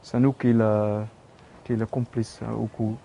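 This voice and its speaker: A man's voice: lowish voice, flat delivery, a little slow, creaky, warm tone, rough recording. A Belgian man with a Flemish accent, speaking French hesitantly with many fillers and restarts as he shows his metal sculptures. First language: Dutch. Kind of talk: casual studio tour.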